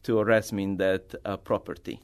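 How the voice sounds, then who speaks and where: A middle-aged man from Bosnia with a Bosnian accent, a native Bosnian speaker answering interview questions as an artist in halting, non-native English. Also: fairly low pitch, level tone, smooth. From Bosnia, former Yugoslavia. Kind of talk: conversational interview answers, personal storytelling.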